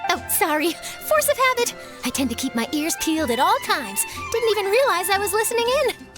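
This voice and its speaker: A woman's voice: very high voice, big pitch swings, a little fast. A woman voicing a perky, keen young news reporter in a video game, chirpy and theatrical. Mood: cheerful, proud. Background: music.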